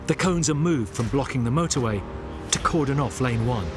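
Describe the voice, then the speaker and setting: Male documentary narrator, measured scripted read, music under. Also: mid-range voice. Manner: measured, even voice-over.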